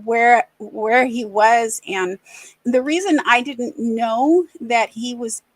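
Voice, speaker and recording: A middle-aged woman from the American Midwest, hosting a podcast and speaking candidly and reflectively, often pausing and restarting. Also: a little high, no echo. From Racine, Wisconsin, USA. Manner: earnest, reflective, halting. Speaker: podcast host. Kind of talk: candid personal conversation.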